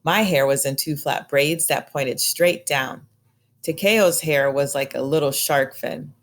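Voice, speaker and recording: A woman reading a children's picture book aloud in an expressive storytelling style. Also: low voice, a little slow. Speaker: storybook reader.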